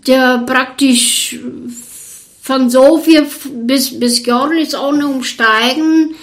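An older woman from Bulgaria with a Bulgarian accent, a violinist recalling her past in an interview in slow, hesitant German full of fillers. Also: high-pitched, steady tone, rough recording. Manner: hesitant, many fillers, searching for German words. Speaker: orchestra violinist. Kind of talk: interview recollection.

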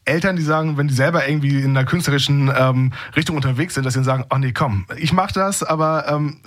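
A man's voice: on the high side, fast talker. A man hosting a casual studio interview in an informal, conversational way. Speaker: radio host. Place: radio studio. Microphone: studio microphone.

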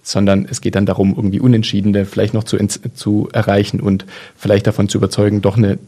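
A man's voice: on the low side, monotone, fast, warm tone. A man in a casual discussion, talking in a thoughtful, hesitant way with many fillers and restarts.

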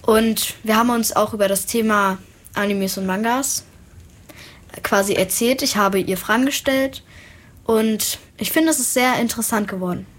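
A woman's voice: medium pitch, normal speed. A woman interviewer speaking informally and tentatively, sometimes searching for her words. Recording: faint background room noise. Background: faint ambient noise.

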